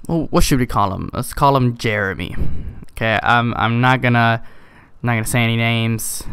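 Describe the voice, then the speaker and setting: A man's voice: medium pitch, fairly bright tone, smooth. A teenage male gamer telling a casual, rambling story in an exasperated, chuckling way. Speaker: video game YouTuber.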